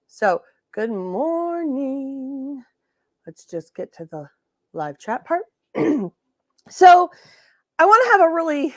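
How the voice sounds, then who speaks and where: A hoarse woman hosts a relaxed crafting livestream with a bit of sass, chatting straight to her viewers and often correcting herself mid-sentence. Her voice sits a little high and sounds dry and close. She talks from a home craft workspace into a webcam. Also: dry recording. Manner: sassy, chatty, self-correcting. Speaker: host. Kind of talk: casual livestream crafting tutorial.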